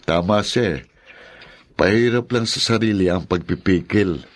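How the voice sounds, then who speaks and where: A man's voice: low-pitched, normal speed, lightly acted. A man playing an evasive, smug cheating husband in a Filipino radio drama.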